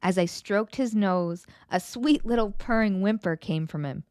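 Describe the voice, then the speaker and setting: A woman narrating a children's picture book in an expressive read-aloud storytelling style. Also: mid-range voice, unhurried, smooth, dry recording, studio quality.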